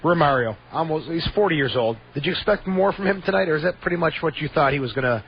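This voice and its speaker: A man's voice: a little high, lively intonation, quick pace, slightly rough. A man hosting a sports radio call-in show, upbeat and moving the conversation along. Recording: dull lo-fi radio audio, faint noise.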